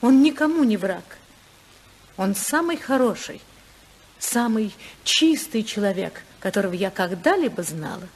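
A woman's voice: mid-range voice, a little slow, breathy edge, low-quality recording. An actress playing a spirited, self-assured senator's wife and mother in a 1950s radio play. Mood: calm, ironic. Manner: lively, ironic, assured. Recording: 1955 radio drama recording.

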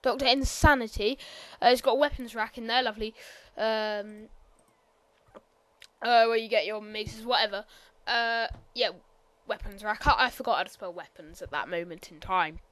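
Rambling high-voiced woman narrating gameplay. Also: fairly even intonation, normal speed, no echo. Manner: rambling, hesitant, full of ums and apologies; quiet, sometimes bursts out loud. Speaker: gameplay commentator. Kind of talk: casual game tour voiceover.

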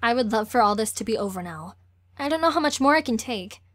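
A woman's voice: fairly high pitch, lively intonation, fast talker, fairly bright tone. A woman playing a sensitive, shaken teenage girl in an audio drama. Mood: weary, shaken.

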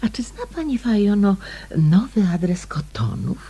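A woman's voice: medium pitch, very animated, unhurried, warm tone, slightly rough, full character voice. An actress playing a polite, inquisitive woman with a questioning manner in a radio play. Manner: polite, questioning, hesitant openings. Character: polite, inquisitive woman quietly probing for facts.

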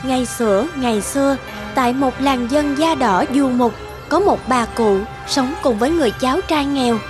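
Highish-voiced female storyteller over music. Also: normal speed. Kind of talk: scripted fable narration.

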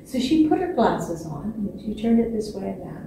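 A woman in her sixties telling a children's story expressively, with dramatic pauses and repetition. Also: medium pitch, big pitch swings, mellow. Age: 60s. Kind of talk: animated children's storytelling from memory. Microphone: distant camera microphone. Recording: echoey stage, distant mic, faint noise.

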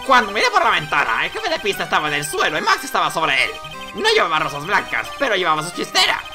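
A performer voicing an indignant, excitable male circus clown in a game, theatrical and exclamatory. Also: medium pitch, fast, music in the background. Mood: showy, excited. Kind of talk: video game dialogue read aloud with character voices.

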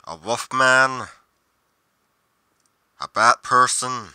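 A man playing a brooding, solitary monster investigator in a roleplay monologue, musing deliberately with pauses mid-sentence. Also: medium pitch, bright, forceful tone, clean tone, dry recording, clean studio sound, exaggerated voice. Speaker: roleplay performer.

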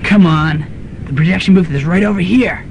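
A man playing a bossy young student rebel leader in a student radio drama, brisk and commanding. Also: sits high, gravelly. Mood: impatient. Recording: noisy student radio recording with ambience.

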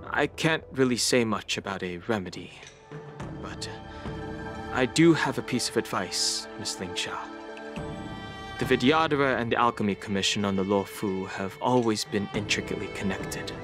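A man voicing a calm young outsider who gives measured, polite advice in a game. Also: fairly low pitch, close to an everyday voice. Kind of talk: game voice acting. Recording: game audio with clear background music.

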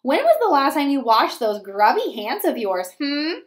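A woman's voice: sits high, sing-song, moderate pace. A woman voicing a grumpy, bored penguin in a sarcastic, put-upon way, from a children's picture book. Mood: grumpy, dismissive.

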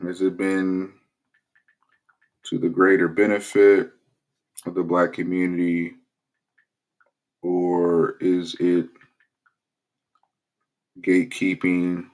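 A man's voice: low-pitched, slow pace, long pauses. A man hosting his own political commentary channel, talking loosely in a casual vlog promo with lots of fillers and restarts. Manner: relaxed, rambling with many fillers.

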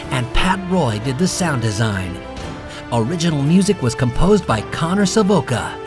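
A man reading scripted announcements and credits as the announcer of an audio drama. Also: fairly high pitch. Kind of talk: scripted narration, radio drama credits read. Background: music.

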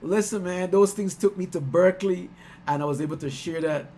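A middle-aged man from Guyana, a musician talking casually on a video call, warm and chatty, laughing often and getting excited as he tells stories. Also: high voice, normal speed, faint music behind. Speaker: musician hosting his album release stream. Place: small room at home. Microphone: headset on a video call.

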